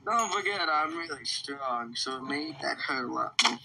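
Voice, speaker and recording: A man's voice: medium pitch, animated, normal speed, creaky, very noisy. A man improvising in-character roleplay in a game, with theatrical, teasing banter. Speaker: player in an online roleplay game.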